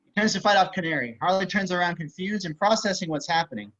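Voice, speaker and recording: A man's voice: high voice, expressive, fast, a bit bright. A man narrating stage directions for a screenplay table read over a video call. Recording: slightly dull video-call audio.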